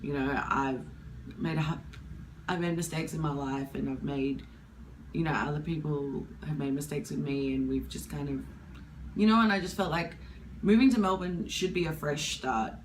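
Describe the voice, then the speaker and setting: A woman in her twenties with a husky voice from hay fever, talking candidly to camera in a rambling, casual way. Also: deep voice, normal speed, background noise. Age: 20s. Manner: candid, rambling, with many fillers. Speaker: vlogger.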